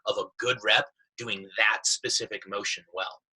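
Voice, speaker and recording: A man's voice: lowish voice, fairly even intonation, normal speed, sharp tone, slightly rough, poor audio. A man being interviewed as a sales executive, explaining conversationally with examples in an emphatic, informal way. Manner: emphatic, informal, acts out quoted remarks.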